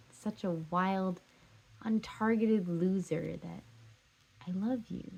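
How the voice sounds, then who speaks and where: Casting note: jilted woman in a stage drama, heartbroken, insistent, pleading, medium pitch played by an actress. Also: gravelly, a little mellow, husky. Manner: emphatic, repetitive, pleading. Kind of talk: stage drama scene and monologue.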